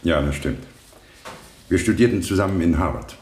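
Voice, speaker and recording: An actor playing a firm, loyal stockbroker giving assured, measured testimony in a radio courtroom drama. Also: male voice, low voice, expressive, darkish tone. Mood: composed. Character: firm, loyal male stockbroker witness, victim's friend. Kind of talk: 1970 German radio drama acting. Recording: roomy vintage radio studio sound.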